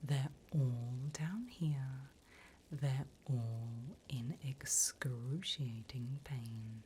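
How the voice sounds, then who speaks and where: A woman voicing a sly, sinister demon interviewer in an ASMR roleplay, in a soft breathy whisper with a teasing, menacingly polite manner. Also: rumbling low, very slow, a little hiss or hum, full character voice.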